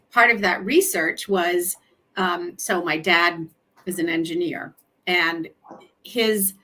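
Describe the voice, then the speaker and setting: A woman's voice: medium pitch, bright, forceful tone. A woman born in Colombia, hosting a casual interview in a chatty, easygoing way. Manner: chatty, laughs easily, conversational. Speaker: host.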